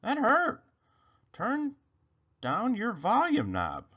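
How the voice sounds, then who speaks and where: A man voicing an excitable, fussy young male rabbit in a children's storybook, whiny and easily upset. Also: very high voice, very slow, muffled recording, voice pushed up, heavily acted. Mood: whiny, annoyed. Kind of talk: children's storybook read-aloud.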